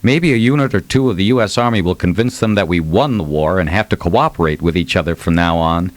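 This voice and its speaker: An audiobook reader voicing an indignant small-town mayor in a formal, scornful letter. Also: male voice, low-pitched, animated, a little fast. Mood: exasperated, scornful. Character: exasperated, indignant small-town mayor writing a plea for help.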